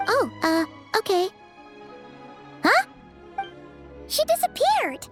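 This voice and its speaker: A woman's voice: extremely high pitch, huge pitch swings, drawn-out words, faint music behind, full character voice. A woman voicing a small, childlike, chatty fairy companion in a video game, jittery and exclamatory. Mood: flustered. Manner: refers to self in third person, exclamatory. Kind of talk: animated video game dialogue acting.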